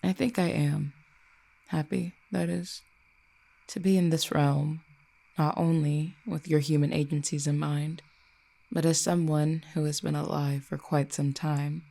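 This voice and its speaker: Audio drama role: ancient fallen cupid, acted by one performer, mellow and creaky mid-pitched voice, formal, wry, quietly menacing. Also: flat delivery. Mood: fond, reflective. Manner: formal, eloquent, measured archaic phrasing. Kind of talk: roleplay audio drama, addressing the listener.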